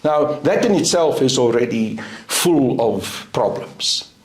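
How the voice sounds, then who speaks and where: A middle-aged South African man whose first language is Afrikaans, speaking in a deliberate, emphatic way as an interview guest. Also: medium pitch, animated. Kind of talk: interview answer. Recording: roomy echo.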